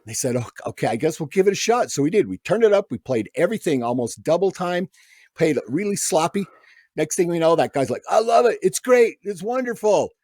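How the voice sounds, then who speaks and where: Man, storyteller, podcast guest, animated pitch, dry recording. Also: a little fast, breathy edge, no echo. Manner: animated anecdote teller, acts out quoted voices. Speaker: guest musician. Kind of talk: casual storytelling.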